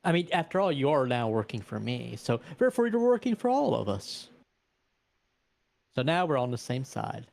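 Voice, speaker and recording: A man's voice: mid-range voice, sing-song, moderate pace, creaky, a little mellow, no room sound. A man playing a tabletop role-playing game, improvising conversationally and reasoning things out aloud. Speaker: tabletop role-play player.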